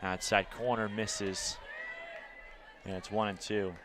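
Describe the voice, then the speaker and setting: A man with an American accent doing live play-by-play commentary of baseball and softball as an announcer. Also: fairly low pitch, level tone, slow, a faint crowd, slight background noise.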